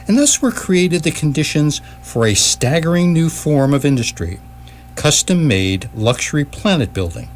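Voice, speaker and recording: A man reading scripted comic narration for radio theatre in a grandiose, measured storytelling manner. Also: mid-range voice. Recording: stage performance with faint hum.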